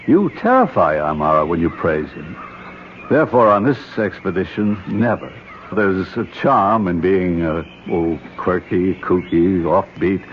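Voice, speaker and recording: Stern middle-aged archaeologist uncle, low voice, authoritative and condescending, 1970s radio drama, muffled noisy broadcast. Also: male voice, animated, a little slow. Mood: exasperated lecturing. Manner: authoritative, lecturing, formal. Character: stern, condescending older archaeologist uncle leading a jungle expedition.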